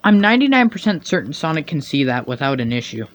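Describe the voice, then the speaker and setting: A man's voice: fairly high pitch, big pitch swings, fast. A man giving comedic nitpicking commentary in a sarcastic, pedantic manner. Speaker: video commentator.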